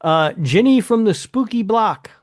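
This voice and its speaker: A man's voice: high-pitched, expressive, a little slow, no room sound, clean studio sound. A man hosting a podcast, chatting casually and announcing things in a hesitant, sweary way. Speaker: podcast host presenting awards.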